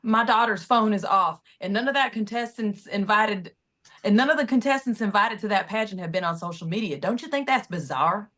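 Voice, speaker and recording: A medium-pitched voice for an anxious mother acted by a woman. She is earnest and insistent, worried about her grown daughter, in a natural screenplay read. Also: brisk, fairly bright tone, lightly acted. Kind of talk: screenplay table read acting.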